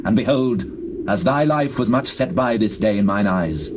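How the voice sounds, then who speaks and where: Humble, shrewd warrior, male actor: low, measured, formal delivery for a scripture audio drama. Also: animated, close to an everyday voice. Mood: reverent. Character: earnest, humble warrior fugitive, shrewd and devout. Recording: noisy old cassette recording.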